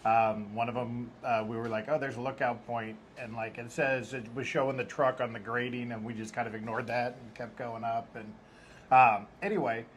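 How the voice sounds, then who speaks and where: A man co-hosting a travel vlog, telling relaxed, joking anecdotes. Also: mid-range voice, normal speed, a little hiss or hum. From American Midwest. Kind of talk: casual travel vlog storytelling.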